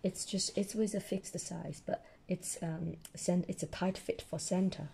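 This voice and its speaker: A woman storyteller with a hoarse voice reading a children's picture book aloud and explaining it simply to a child. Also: lowish voice, fairly even intonation, unhurried, warm tone, slight background noise. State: hoarse, losing her voice.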